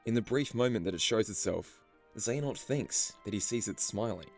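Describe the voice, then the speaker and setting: A man reading scripted story explainer narration in a measured, storytelling delivery. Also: on the low side, animated, creaky, darkish tone. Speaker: video narrator. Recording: music behind the voice. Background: background music.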